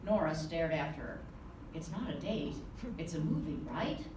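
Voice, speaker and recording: A woman's voice: low voice, big pitch swings, normal speed. Woman reading her novel aloud in an echoey room. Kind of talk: reading aloud to a live audience. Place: conference room. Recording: roomy, distant with background noise.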